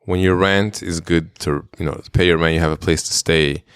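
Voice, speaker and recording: A man who was raised in Israel, co-hosting a podcast in relaxed, rambling, casual chat with some swearing. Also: sits low, moderate pace, clear, resonant tone, no room sound, studio quality. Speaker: podcast co-host.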